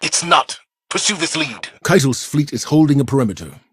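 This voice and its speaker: A stern military commander in a game, with a husky, tense voice. His pitch is animated as he gives mission orders with concern. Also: normal speed. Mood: businesslike. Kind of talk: dramatic video game mission dialogue.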